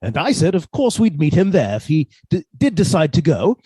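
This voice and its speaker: A man's voice: fairly high pitch, sing-song, moderate pace, dark tone, slightly rough, dry recording, heavily acted. Man playing a meek, henpecked husband. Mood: meek, flustered. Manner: hesitant, stammering, dutifully submissive. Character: meek henpecked British professor husband with quiet menace. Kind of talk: theatrical radio suspense acting.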